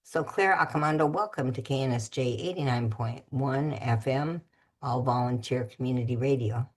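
Very low-voiced husky woman host. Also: moderate pace. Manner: relaxed, conversational, searching for words. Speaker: radio host. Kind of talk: community radio interview.

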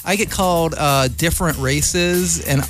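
A man's voice: a little high, measured pace, over music. A man hosting a casual community radio interview, chatty, jokey and slangy in an effusive way. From California, United States. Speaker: radio host.

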